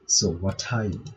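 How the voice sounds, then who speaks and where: A man's voice: medium pitch, warm tone, highs cut off. A man reading visual novel text aloud with casual commentary, breaking off to remark and reread lines. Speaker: gaming reaction video maker.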